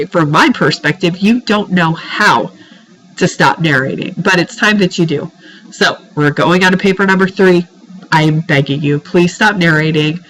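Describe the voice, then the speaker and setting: Stuffed-up woman teacher, low-pitched. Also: fairly bright tone, low-quality recording. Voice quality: congested, stuffed-up nose. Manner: exasperated, pleading, emphatic. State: has a head cold. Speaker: writing teacher. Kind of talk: informal recorded feedback to students.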